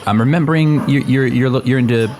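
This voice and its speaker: A man improvising a radio-play role as a concerned, awkward office colleague, reasoning tactfully and haltingly. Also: mid-range voice, natural voice.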